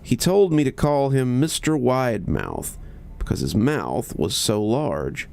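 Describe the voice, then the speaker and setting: A man reading a scripted horror story in measured, deliberate phrases with pauses. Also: mid-range voice, big pitch swings, gravelly, darkish tone.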